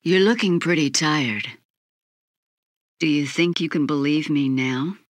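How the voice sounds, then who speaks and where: Low-voiced, scheming demon woman. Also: measured pace, no room sound, studio quality. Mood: aloof. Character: cool, scheming demon woman, aloof and self-serving. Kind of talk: video game voice acting. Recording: game audio with clear background noise.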